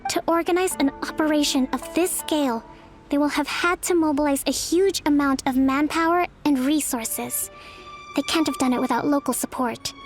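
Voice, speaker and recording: An actress playing a young, thoughtful female military leader in a video game, soft and measured in delivery. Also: high voice, natural voice. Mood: cautioning. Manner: measured, formal, deliberate. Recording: music behind the voice.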